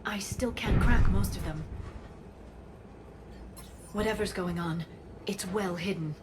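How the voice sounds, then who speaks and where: A woman's voice: mid-range voice, slow pace, close to an everyday voice. A woman voicing an earnest, worried alien scholar in a video game, with ambient noise behind her.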